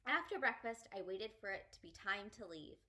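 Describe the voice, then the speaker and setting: A woman reading a children's story aloud as an expressive storyteller. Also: medium pitch, normal speed. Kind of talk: expressive children's book narration.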